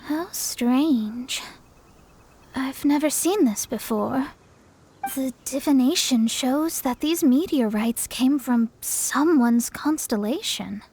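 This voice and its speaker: High-voiced, haughty young astrologer mage. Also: female voice, slow pace, warm tone, slight background noise. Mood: pensive, explaining. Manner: self-assured, formal, lecturing. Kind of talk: video game character acting.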